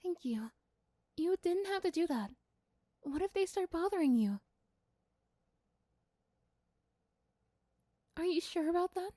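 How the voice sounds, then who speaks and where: A woman's voice: very high voice, animated, moderate pace, dark tone, no echo, studio quality, voice pushed up. A woman voicing a shy, kind, easily flustered young female class president in a romantic roleplay, with a hesitant, polite manner. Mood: grateful, shy.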